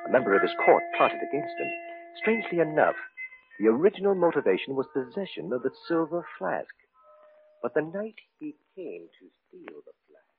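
A lonely, nervous male collector spinning eerie legends in an old radio horror drama. His delivery is theatrical and swings widely in pitch, with hesitations and dramatic swells. The recording is thin and band-limited, with music underneath. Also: sing-song, moderate pace, gravelly, phone-quality sound. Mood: eerie storytelling. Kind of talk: 1940s radio horror acting.

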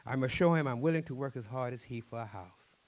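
A man's voice: medium pitch, fast, a little mellow, close to an everyday voice. A man voicing a humble night fisherman in a folk tale, giving thanks in a raised, lifted voice. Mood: grateful.

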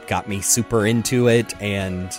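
A man's voice: on the low side, fairly even intonation, measured pace. Man hosting a casual podcast over music. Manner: informal, jokey, rambling. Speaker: podcast host. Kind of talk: casual chat.

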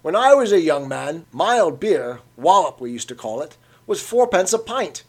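A man's voice: on the high side, sing-song, normal speed, voice pushed up. A man voicing a stubborn, grumbling old London working man, dropping his aitches. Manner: querulous, pugnacious, dropped aitches.

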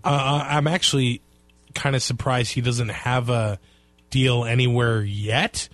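A man co-hosting a casual sports podcast, talking in a relaxed, halting way with lots of fillers. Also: mid-range voice, no echo. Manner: relaxed, hesitant with many fillers. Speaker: podcast co-host.

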